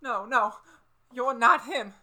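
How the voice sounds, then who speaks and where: A man plays a frightened assistant with an extremely high, shrill voice, recorded over clear background noise. He stammers and panics through old-time radio horror lines. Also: takes it slowly. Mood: defiant fear. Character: terrified, simple, loyal lab helper.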